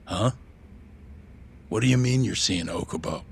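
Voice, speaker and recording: An actor playing a gruff, skeptical older boss in an English-dubbed video game cutscene, blunt, with a gravelly voice. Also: male voice, sits low, animated, unhurried, slight background noise, full character voice. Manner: blunt, dismissive. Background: loud background music.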